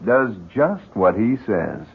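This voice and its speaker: An actor playing a resigned, cynical man, wry and measured, in 1970s radio drama style. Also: fairly low pitch, sing-song, gravelly, husky, full character voice. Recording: dull 1970s radio recording.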